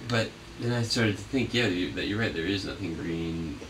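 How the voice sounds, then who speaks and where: A man being interviewed as a puppeteer, chatting casually in a halting, rambling way that often trails off. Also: sits low. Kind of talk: casual unscripted interview chat. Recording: noisy, distant mic.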